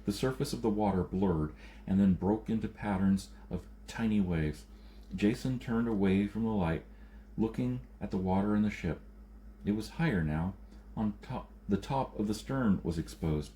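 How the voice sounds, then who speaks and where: Guy reading an audiobook story, lowish voice, really mellow and dark. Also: normal speed, a little hiss or hum. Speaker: audiobook reader. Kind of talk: scripted story narration.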